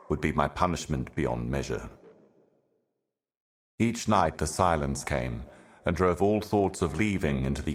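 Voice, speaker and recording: A super deep ancient video game herald, grave and weary, slow cryptic doom monologue. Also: male voice. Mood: bleak, resigned. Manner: grave, deliberate, ominous, poetic.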